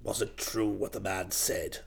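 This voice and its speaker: A man's voice: low-pitched, steady tone, a little slow, vocal fry, husky, voice pushed down, exaggerated voice. A man voicing a stiff, indignant old English duke, icily polite, with clipped, formal and pompous delivery in an audiobook story. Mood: stern displeasure. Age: older.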